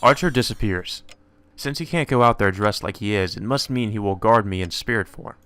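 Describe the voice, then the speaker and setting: Low-pitched man reading a visual novel. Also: brisk, a bit bright, smooth. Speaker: let's play narrator.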